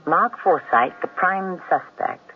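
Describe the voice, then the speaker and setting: A man's voice: high voice, animated, slow. A man narrating and hosting a radio mystery drama in a solemn storytelling manner. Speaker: host and narrator. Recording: old lo-fi radio broadcast.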